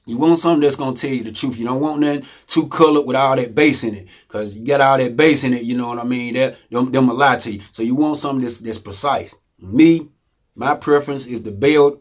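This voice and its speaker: A man, casually explaining home studio gear in a loose, rambling way that keeps asking "you know what I mean?". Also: a little fast. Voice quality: deep voice. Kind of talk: casual how-to talk.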